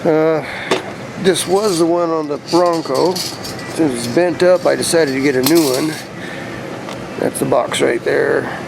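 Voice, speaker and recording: Casual male vlogger, slightly high-pitched with a creaky edge, rambling explainer delivery, outdoor ambient noise. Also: slow pace, long pauses. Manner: laid-back, conversational, explanatory. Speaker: vlogger showing a DIY radio antenna install. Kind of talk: casual rambling vlog talk to camera. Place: outdoors beside his truck.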